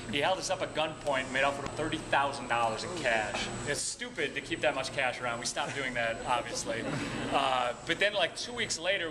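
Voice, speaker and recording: A man guides a tour with humorous, colloquial stories. His voice sits a little high. He sounds casual, speaking over a noisy background. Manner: chuckles at own anecdotes, colloquial. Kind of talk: casual humorous storytelling to a group. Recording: noisy room, background music at times.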